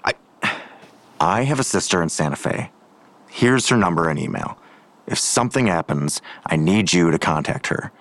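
An actor playing a curt, guarded, world-weary lawman in an audio drama, with blunt, terse delivery and a gravelly voice. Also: deep voice, animated, moderate pace, no echo. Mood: grave, urgent. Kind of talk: full-cast audio drama acting.

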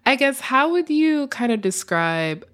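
A woman's voice: high-pitched, sing-song, a little slow, a bit bright, clear, resonant tone. A woman hosting a podcast, interviewing her guest in a casual, conversational way.

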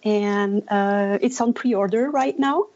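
A woman from Belgium whose first language is French, speaking English with a Belgian French accent as a cookbook author guest on a podcast. She is eager and pauses often. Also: medium pitch, a little mellow, lo-fi sound. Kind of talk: podcast interview.

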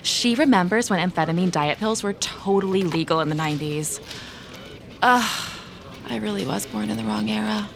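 An actress playing a self-absorbed, gossipy young coworker in an audio drama, with a breezy, overdramatic drawl. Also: female voice, medium pitch, normal speed, gravelly, natural voice. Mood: gushing, dramatic. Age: young adult.

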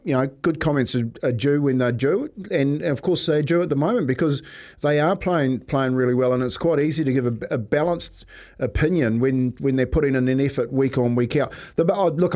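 New man, mid-pitched sports pundit. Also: brisk, darkish tone. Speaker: radio sports pundit and co-host. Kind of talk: casual banter and game analysis.

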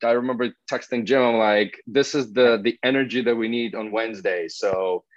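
A man's voice: mid-range voice, steady tone, moderate pace, no echo, dull audio. A man hosting a podcast, chatting informally and unscripted in a bantering way over a video call. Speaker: podcast host. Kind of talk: casual unscripted chat.

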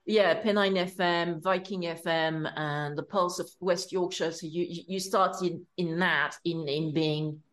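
A woman's voice: lowish voice, fairly even intonation, a little slow, few pauses, a bit bright. A woman hosting her podcast in casual interview style, speaking hesitantly with many fillers and restarts. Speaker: podcast host. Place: home in London.

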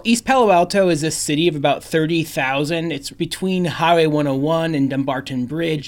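A young adult male reporter explaining a local story in a casual way, with some fillers. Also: on the high side. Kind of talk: radio news feature narration.

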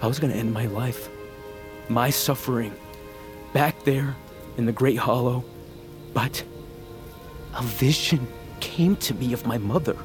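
An actor playing a wounded, bitter young noble son in a fantasy audio drama, earnest and brooding, with halting delivery. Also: male voice, mid-range voice, close to an everyday voice. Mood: sorrowful, confessional. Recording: music under the voice.

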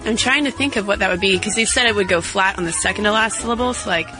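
A woman's voice: medium pitch, fast, over music, rough recording. A woman co-hosting a podcast in casual chat, talking straight to her listeners. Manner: chatty, addresses listeners directly. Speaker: podcast co-host.

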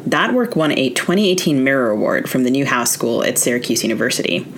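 Woman, American accent, very deep pitch, podcast host, interview and introduction delivery. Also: sing-song, fast talker, slight background noise. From United States, Maryland.

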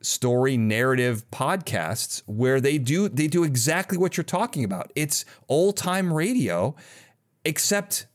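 A man's voice: medium pitch, lively intonation, unhurried. A man hosting a podcast in casual conversation, talking in a rambling, enthusiastic way and often interrupting himself. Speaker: podcast host.

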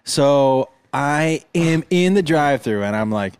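A man chatting casually on a podcast, telling comic stories with lively swings of pitch and bursts of laughter. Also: measured pace, noisy background. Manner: animated storyteller, bursts into high-pitched singing and laughter. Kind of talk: casual comedic chat.

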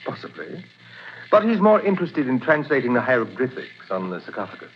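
An actor playing a formal, courteous museum curator in a 1940s radio drama, speaking in a measured way. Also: male voice, medium pitch, big pitch swings. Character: formal older male museum curator, doctor. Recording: dull lo-fi 1940s radio recording with hiss.

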